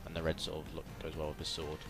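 A man's voice: rumbling low, monotone, fast talker. A man giving casual, playful let's-play commentary, chatty and full of exclaimed sound effects. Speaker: gaming video host. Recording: game music behind, doubled game audio. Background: video game music and sounds.

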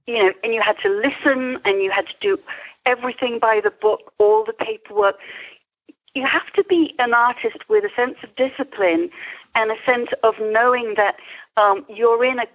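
A woman's voice: on the high side, steady tone, normal speed. A middle-aged woman speaking conversationally as a guest in a podcast interview, over a phone-like remote line. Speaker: interview guest, creativity coach.

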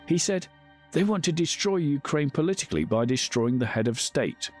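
A man narrates a documentary from a script. His pitch rises and falls in a lively way, and clear music plays under his voice. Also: moderate pace.

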